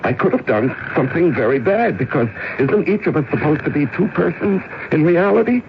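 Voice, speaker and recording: A man's voice: on the high side, lively intonation, a little fast. An actor playing an anxious middle-aged family man who stammers and pleads insistently, in the style of 1970s radio drama. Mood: troubled, confiding. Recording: muffled, noisy old radio broadcast.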